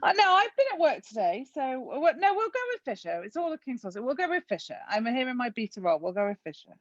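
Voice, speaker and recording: A woman's voice: high voice, sing-song, fast, no echo, dull audio. A woman podcast guest who jokes and chips in loosely, heard over a remote line. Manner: jokey, loose, interjecting. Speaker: guest, association chief medical officer. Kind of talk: conversational podcast interview.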